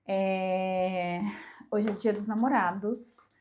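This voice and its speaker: A woman's voice: medium pitch, steady tone, slow, a little mellow, a little hiss or hum. A young Brazilian woman congested with a cold, chatting casually and emphatically to camera as a vlogger. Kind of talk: casual personal chat to camera.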